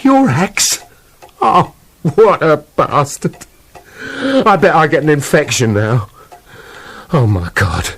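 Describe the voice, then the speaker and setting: An actor voicing a sickly, self-pitying wolf in a darkly comic radio play, complaining in a weary, matter-of-fact way. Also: male voice, a little high, sing-song, slow, gravelly, long pauses, a bit bright, breathy edge, heavily acted. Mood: alarmed, indignant.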